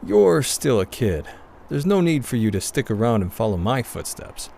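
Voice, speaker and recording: A man playing a gruff, aloof adult guardian in a manga dub drama. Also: mid-range voice, very animated, normal speed, darkish tone. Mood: aloof, resigned. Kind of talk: anime-style manga dub acting.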